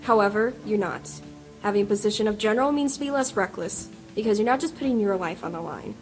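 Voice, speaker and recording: A woman's voice: medium pitch, fast talker, a little mellow, lightly acted. A woman acting a stern, no-nonsense pony nurse who scolds dryly in a radio play. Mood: stern, reproving. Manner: dry, scolding. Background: music bed.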